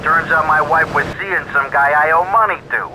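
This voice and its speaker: A very bright, forceful, sleazy crooked businessman in a video game, grumbling with brash bitterness. A faint noise sits behind him. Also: fairly high pitch, moderate pace, rough recording. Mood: menacing. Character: crooked, embittered, scheming dog food factory boss. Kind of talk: video game cutscene acting.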